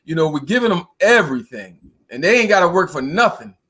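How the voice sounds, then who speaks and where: A man's voice: on the high side, sing-song, brisk, pushed and bright, husky. A man on a casual discussion panel, talking in a slangy, exasperated way and laughing between points. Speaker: podcast panelist.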